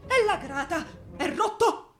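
An agitated old maid in a radio play, very high-pitched and swinging widely. She is plaintive and protective, emphatic in her disbelief. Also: expressive, measured pace. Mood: indignant disbelief. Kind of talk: stylized radio theatre acting.

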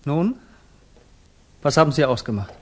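A husky, fervent young revolutionary. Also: male voice, fairly high pitch, big pitch swings, moderate pace. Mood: eager, confiding. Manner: earnest, fervent. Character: idealistic young Russian revolutionary, fervent and trusting. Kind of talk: 1960s German radio drama acting.

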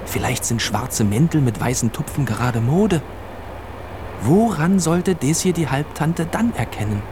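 A man narrating a children's radio play as a storyteller, in deliberate short phrases. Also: fairly high pitch, animated. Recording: clear traffic and vehicle noise behind. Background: vehicle and outdoor ambience.

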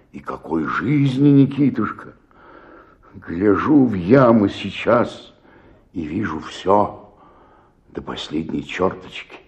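An actor playing a despairing, self-pitying old provincial actor in a radio play, declaiming and then sinking into murmurs. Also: male voice, deep voice, a little mellow, full character voice. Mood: anguished nostalgia. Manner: declamatory, swinging between outburst and murmur. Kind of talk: radio play dramatic monologue.

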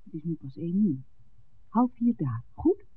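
An actress playing a lively, romantic young village barmaid in a 1960s Dutch radio drama. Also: female voice, fairly low pitch, moderate pace, breathy edge, narrow, tinny audio, heavily acted. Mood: eager. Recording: thin vintage 1969 radio recording.

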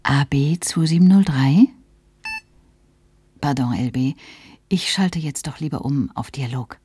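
A cool, insistent female questioner speaks in a dark, breathy voice. It is a low, husky radio-drama delivery that keeps probing. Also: measured pace, dry recording, full character voice. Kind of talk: German radio drama acting.

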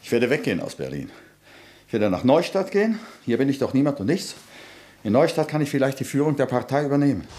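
A man's voice: medium pitch, very animated, fast, warm tone. An actor playing a headstrong socialist activist in a German radio play, tender with his lover. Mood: resolute.

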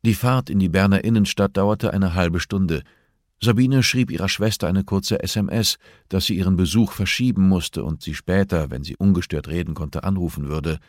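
A man's voice: low-pitched, moderate pace, a little mellow, dry recording, studio quality. A man reading a novel aloud as scripted audiobook narration.

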